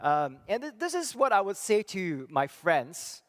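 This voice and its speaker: A middle-aged man from Singapore giving a church talk, thoughtful and halting, with many fillers and restarts. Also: high voice, big pitch swings. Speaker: church speaker and psychology professor. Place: church hall. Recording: long reverb of a hall.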